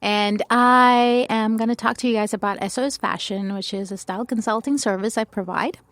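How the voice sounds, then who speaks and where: A woman's voice: a little high, steady tone, brisk, fairly bright tone, no room sound. A woman born in India who grew up in France, telling stories casually as an interview guest. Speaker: guest, style consultant.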